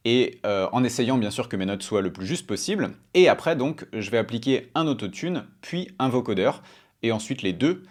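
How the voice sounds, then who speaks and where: A man's voice: mid-range voice, animated, moderate pace. Casual man giving a tutorial. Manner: informal, explanatory. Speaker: host of music production tutorials. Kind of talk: casual tutorial talk to camera. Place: small home studio room.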